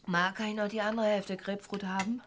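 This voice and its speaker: Actress as a grumbling young daughter. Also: female voice, mid-range voice, flat delivery, brisk, a little hiss or hum, natural voice. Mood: pleading. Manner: whiny, complaining. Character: grumbling young adult daughter living with her parents. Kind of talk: naturalistic German radio play acting.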